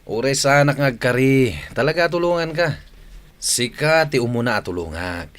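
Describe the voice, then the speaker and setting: A man's voice: mid-range voice, lively intonation, normal speed, a bit bright, lightly acted. A man acting a hearty, confident family man in an Ilocano radio drama. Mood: confident, reassuring.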